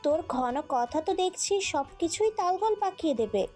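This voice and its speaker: Woman as a high-voiced scheming, jealous aunt. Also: expressive, normal speed, darkish tone. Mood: scolding, scheming. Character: jealous, scheming middle-aged aunt, bossy mother. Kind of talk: melodramatic audio story acting. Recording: music behind the voice.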